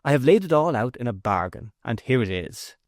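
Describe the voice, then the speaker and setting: Man doing a sly young lad, pleased with himself, lively delivery. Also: medium pitch, brisk, no room sound, studio quality. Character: sly young man, son back from the fair.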